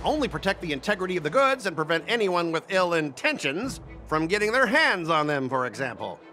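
A man's voice: fairly high pitch, very animated, fairly quick. A man voicing a smug, pedantic corporate fleet officer in a video game, pompous and condescending. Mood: smug, officious. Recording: music under the voice.

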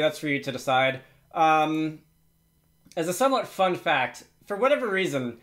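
A man's voice: mid-range voice, fairly bright tone. A man presenting a casual, unscripted screencast tutorial, hesitantly thinking aloud as he works. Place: indoors at a computer.

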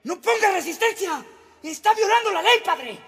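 A man's voice: extremely high pitch, animated, moderate pace, gravelly, a bit bright, big-room sound, full character voice. An actor playing an aggressive, contemptuous Mexican policeman in a radio drama, barking orders. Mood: aggressive, scornful.

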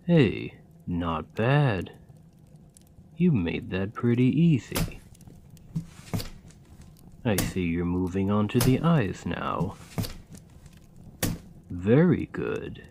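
Kind gravedigger played by a man for comfort ASMR: fairly low, very unhurried, gentle, patient, fondly encouraging. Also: sing-song, creaky, slight background noise, exaggerated voice. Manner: soft, soothing, encouraging, unhurried. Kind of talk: ASMR roleplay, scripted comforting monologue.

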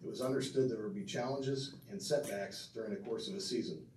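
A middle-aged man with a fairly low voice speaks earnestly from a podium, stumbling now and then as he reads his notes. He is heard from across a reverberant banquet room, with a faint hum of room noise behind him. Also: a little fast, a little mellow, recorded from a distance. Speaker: speaker inducting his father into a hall of fame. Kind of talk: prepared tribute speech with asides to the audience. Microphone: podium mic heard from a far camera.

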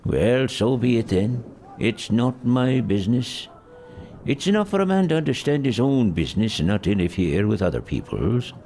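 An actor playing an elderly, irritable miser in an audio drama, gravelly and curt with scornful grumbling. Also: male voice, medium pitch, sing-song, normal speed, warm tone, a little hiss or hum. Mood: curt, dismissive.

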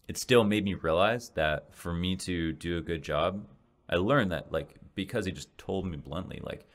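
Young male podcaster, very low raspy voice, casual rambling delivery, close studio mic at home. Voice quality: deep voice that turns raspy. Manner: rambling, many restarts and fillers. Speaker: podcast host and esports caster. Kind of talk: casual solo podcast reflection. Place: small home room, indoors. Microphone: large studio mic in front of face.

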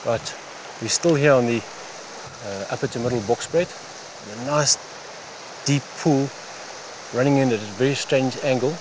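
Man, fishing guide, instructional commentary, halting unhurried phrasing, mid pitch, noisy outdoor stream recording. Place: outdoors on a wooded stream bank. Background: running water, outdoor ambience.

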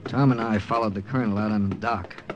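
An actor with an American accent playing a seasoned secret agent captain who narrates a 1950s radio drama. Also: male voice, on the low side, fairly even intonation, quick pace, dull audio, close to an everyday voice. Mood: tense recollection. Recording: old radio broadcast, music underneath.

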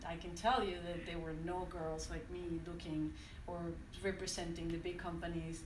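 A woman from Venezuela, French her first language, gives a reflective talk at a standing mic in a university classroom. She often pauses and restarts her sentences. Her voice is fairly low and sounds roomy and distant, caught from the back of the class. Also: steady tone, background noise. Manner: reflective, with many restarts and hesitations. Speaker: conference speaker. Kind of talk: personal talk to an audience. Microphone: standing mic, recorded by a phone from the audience. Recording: echoey room from far off.

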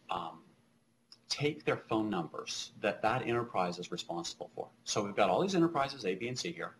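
A middle-aged man presenting technical material over slides in a halting, explanatory way, speaking from a small room on a webcam call. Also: lowish voice, a bit bright, a little hiss or hum, poor audio.

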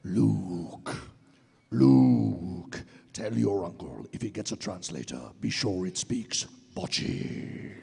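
A man voicing a gravelly, slow, droning cartoon character in a live script read. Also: on the low side, expressive, heavily acted. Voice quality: gravelly, dark. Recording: noisy live panel microphone.

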